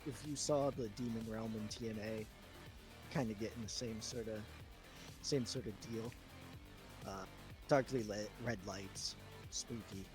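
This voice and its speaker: A man's voice: fairly low pitch, steady tone, measured pace, pauses often, rough recording. A man chatting casually and off the cuff as he runs a wrestling booking game on a live stream, thinking aloud as he goes. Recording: background music under the voice. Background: chillhop video game music.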